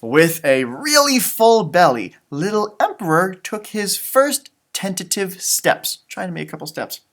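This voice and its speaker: A man reading a children's picture book aloud in an expressive, teacherly way, with casual chatty asides. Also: sits high, unhurried. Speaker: storybook reader, elementary school teacher.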